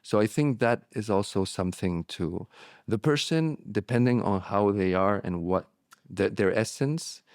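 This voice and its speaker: A man whose first language is Spanish, speaking candidly as an interview guest, reflective and halting with frequent restarts. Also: on the low side, darkish tone, no echo, studio quality. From born in Morocco, raised abroad. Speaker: guest, relapse prevention therapist.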